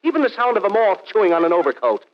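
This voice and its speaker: A man's voice: sits high, sing-song, very fast. An actor playing a vain, fussy sound-effects man in a 1940s radio comedy, indignant and theatrical. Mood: grandly self-important. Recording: dull, noisy 1940s radio recording.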